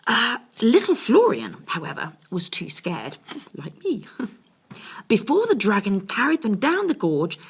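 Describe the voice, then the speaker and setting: A woman's voice: a little high, wildly swooping pitch, slow pace, gravelly, breathy edge. A woman reading a children's bedtime story expressively, with confiding asides to her young listeners.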